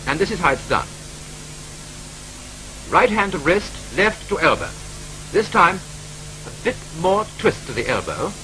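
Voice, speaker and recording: Brisk British 1940s newsreel narrator. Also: male voice, high-pitched, sing-song, bright, forceful tone, rough recording. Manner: brisk, clipped, wryly matter-of-fact. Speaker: training film narrator. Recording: old film soundtrack with faint hiss.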